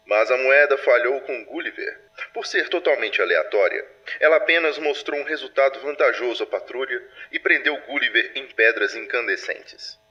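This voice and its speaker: A man performs a solemn superintendent of a secret sci-fi patrol in an audio drama. His voice sits at an ordinary male pitch with a bright, tense edge. He dictates mysterious case files in formal, deliberate phrases, grave and official throughout. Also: poor audio. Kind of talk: scripted audio drama narration.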